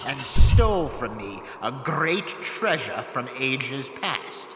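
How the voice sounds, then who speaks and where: Slow, pompous sorcerer in echoing reverb. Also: male voice, medium pitch, very animated. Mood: bitter, grandiose. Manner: theatrical, incanting, grandiloquent, swearing. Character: pompous, aggrieved male undead sorcerer, short-tempered and melodramatic. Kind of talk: audio drama acting. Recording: echoey cave reverb, music underneath.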